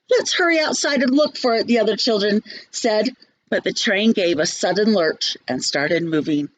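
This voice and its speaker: Brief: female storybook reader, mid-pitched, expressive and measured, teacherly delivery for children. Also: low-quality recording. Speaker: teacher reading a picture book aloud. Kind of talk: storybook read-aloud.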